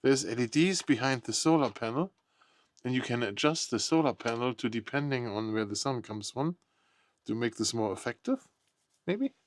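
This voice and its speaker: A man's voice: mid-range voice, lively intonation, moderate pace. A man giving casual, unscripted unboxing commentary, thinking aloud in short, tentative remarks. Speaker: product unboxing vlogger.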